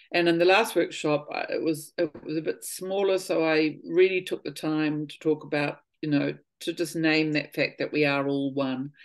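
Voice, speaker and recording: Guest, fifties woman, low voice, earnest, circling thoughts, soft trailing phrases on a video call. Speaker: voice and healing workshop leader. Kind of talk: casual spiritual conversation. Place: home living room.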